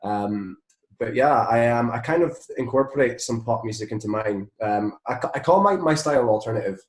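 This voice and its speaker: A man's voice: medium pitch, lively intonation, fairly quick. A Scottish man chatting informally as an interview guest, recorded in a roomy, echoing space. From Scotland. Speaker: interview guest, musician and songwriter. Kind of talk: casual interview chat.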